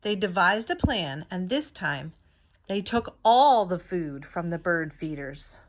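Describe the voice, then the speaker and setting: A woman reading a children's storybook aloud in a deliberate, expressive teacher's manner. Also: on the low side.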